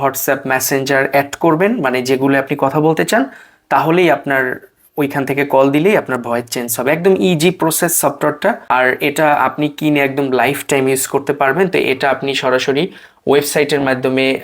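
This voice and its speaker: Casting: male tech-channel host, easygoing sales-demo delivery, mid-pitched voice, English words mixed in. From Bangladesh. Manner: chatty, drops in English words and filler "ah"s.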